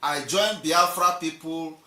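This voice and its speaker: A man's voice: on the high side, takes it slowly, sharp tone. A man hosting a political livestream, defiant and piling up repeated rhetorical lists. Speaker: livestream host. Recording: roomy, distant from the mic.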